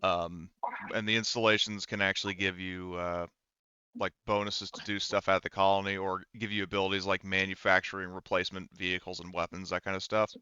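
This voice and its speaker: A man casually narrating and explaining a tabletop game as game master, haltingly thinking aloud. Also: deep voice, flat delivery, bright, forceful tone, clean tone, dry recording, highs cut off.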